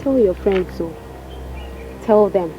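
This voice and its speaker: A woman's voice: mid-range voice, expressive, slow, highs cut off, over music. An actress playing a put-upon young Nigerian woman in a film drama, speaking earnestly to those who bully her. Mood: indignant. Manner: pleading, earnest. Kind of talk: Nollywood film drama acting.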